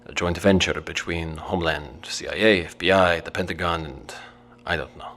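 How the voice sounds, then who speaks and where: A deep-voiced evasive father. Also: fairly even intonation, moderate pace, fairly bright tone, a little hiss or hum. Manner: stammering, evasive when pressed. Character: middle-aged male translator and father, evasive. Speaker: father, government translator.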